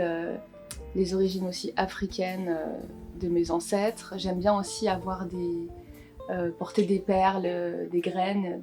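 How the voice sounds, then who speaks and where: A woman in her twenties, a singer-songwriter, answering interview questions in a relaxed, thoughtful way with frequent hesitations. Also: on the low side. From Guadeloupe, born in Paris. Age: 20s. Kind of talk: casual interview answers to camera.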